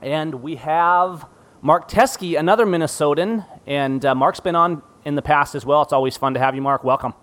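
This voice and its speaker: Male podcast host, slightly raised pitch, upbeat and self-deprecating, keeps a loose panel chat moving. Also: normal speed, fairly bright tone. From Central Washington. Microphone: studio podcast mic.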